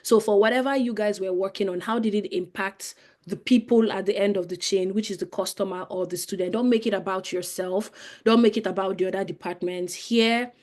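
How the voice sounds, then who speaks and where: A woman's voice: medium pitch, fairly even intonation, fairly quick, a little mellow. Young Nigerian woman giving webinar advice. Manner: conversational, explains with many fillers. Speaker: webinar presenter.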